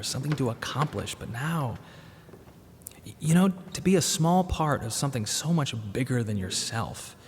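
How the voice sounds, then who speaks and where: Bereaved dad in a radio play, earnest and halting, roomy hall reverb, faint noise. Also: male voice, mid-range voice. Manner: halting, reflective. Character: grieving, restless bereaved father, earnest and awkward. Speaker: narrator and protagonist. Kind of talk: radio drama narration and dialogue.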